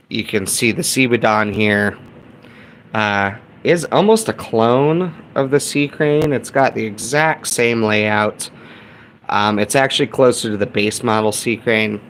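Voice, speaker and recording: A man's voice: on the low side, a bit bright. A man casually demonstrating gear as a hobbyist host, talking to viewers like buddies. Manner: informal, buddy-like address to viewers. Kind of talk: casual hands-on gear demo. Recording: clear background noise.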